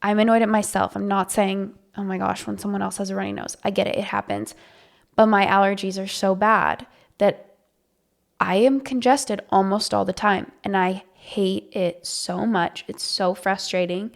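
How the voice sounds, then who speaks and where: Blunt woman, slightly gravelly voice. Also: medium pitch, steady tone. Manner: blunt, quick to complain, laughing. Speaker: podcast co-host. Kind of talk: casual chat.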